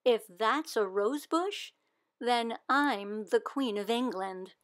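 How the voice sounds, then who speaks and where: A woman reading aloud voices a kindly neighbor woman who speaks gently and with doubt. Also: on the high side, a little slow, natural voice. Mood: doubtful. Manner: gentle, skeptical.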